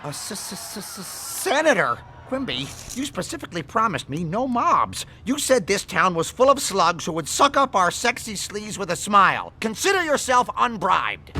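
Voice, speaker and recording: A high, extremely sharp-toned sleazy cartoon producer. He is indignant and comic, with dramatic swings in pitch. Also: sing-song, moderate pace, few pauses, heavily acted. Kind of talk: animated comedy game acting.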